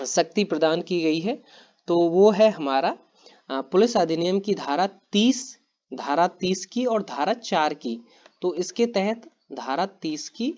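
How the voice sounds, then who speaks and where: A man teaching exam prep, reading quiz questions and answers aloud in a steady, plain way, repeating each answer and often clearing his throat. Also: high voice, lo-fi sound.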